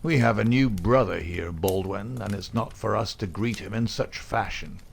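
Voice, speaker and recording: Man voicing a gruff, bullying gang boss. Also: lowish voice, normal speed, a little hiss or hum. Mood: menacing. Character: rough, domineering middle-aged male gang boss.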